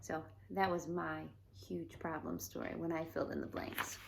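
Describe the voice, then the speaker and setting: A woman teacher speaking to children, reading aloud and giving instructions in a deliberate, expressive way. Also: low-pitched, vocal fry, a little hiss or hum. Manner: deliberate, expressive storytelling delivery.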